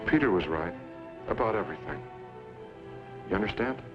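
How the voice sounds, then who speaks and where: An actor playing a terse, resolute man in a western radio drama, speaking gravely. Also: fairly low pitch, lively intonation, moderate pace, music in the background, lightly acted. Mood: grave, resolved. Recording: muffled old radio recording.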